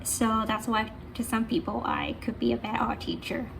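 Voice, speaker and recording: A woman's voice: on the high side, completely flat delivery, moderate pace, creaky. A young woman with a Hong Kong accent, an artist and art teacher who talks casually about her work, switching between Cantonese and English with many fillers. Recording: noisy background.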